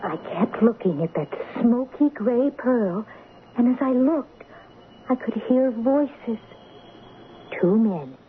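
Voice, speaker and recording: A wide-eyed young heroine in an old radio play. Her fairly high voice moves slowly and expressively with dreamy wonder, over a noisy, narrow broadcast. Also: lively intonation. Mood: entranced. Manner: entranced, breathless wonder. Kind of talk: 1970s radio drama acting, narrating a vision.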